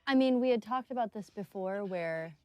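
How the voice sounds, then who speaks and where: A woman's voice: mid-range voice, moderate pace, no room sound, highs cut off. A woman, an actress chatting casually in a commentary on a game.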